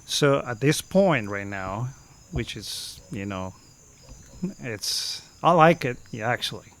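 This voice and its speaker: A man in his fifties from Costa Rica with a Costa Rican Spanish accent, telling stories as a podcast guest and often pausing to search for words. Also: medium pitch, lively intonation, a bit bright. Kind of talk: casual storytelling.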